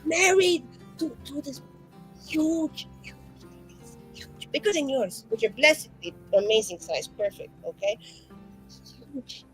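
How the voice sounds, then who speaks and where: A woman's voice: sits high, takes it slowly, long pauses, soft background music, pitched above the performer's own voice, rough recording. A woman voicing a flamboyant, chatty young female mage, rambling and full of fillers. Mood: gossipy.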